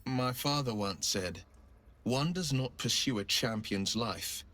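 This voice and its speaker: A man's voice: mid-range voice, slow, fairly bright tone. A man playing a gallant, earnest monster-hunting hero in a fantasy video game, telling things in a theatrical, storytelling way. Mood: earnest, solemn.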